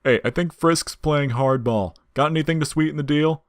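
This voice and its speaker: Skeleton monster, performed by a male reader: mock-casual wisecracker, lifted pitch, close dry sound, folksy menace. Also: normal speed, no room sound, close to an everyday voice. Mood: breezy, teasing.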